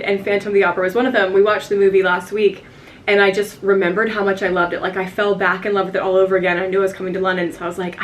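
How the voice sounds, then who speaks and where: American woman vlogger, a bit tipsy, giggly and rambling, camera mic with faint hotel room hiss. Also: medium pitch. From United States. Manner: chatty, giggly, rambling with fillers. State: a little tipsy. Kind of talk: casual vlog chat to camera.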